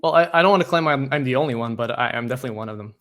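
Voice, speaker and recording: Bright-voiced man, hesitant. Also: mid-range voice, rapid-fire. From Portugal. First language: Portuguese. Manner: hesitant, many restarts and fillers. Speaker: guest, open source developer. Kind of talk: casual interview chat.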